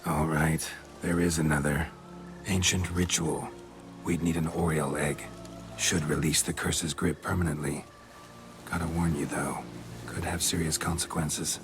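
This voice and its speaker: An actor playing a stoic, gruff monster hunter in a video game, speaking in terse, clipped phrases with a low, gravelly voice. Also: male voice, flat delivery, unhurried, over music. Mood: cautious, matter-of-fact. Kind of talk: video game cutscene acting.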